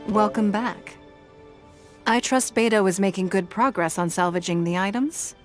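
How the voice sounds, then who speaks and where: A woman's voice: mid-range voice, normal speed, soft background music, close to an everyday voice. An actress playing a poised, elegant female leader in a video game, formal and composed.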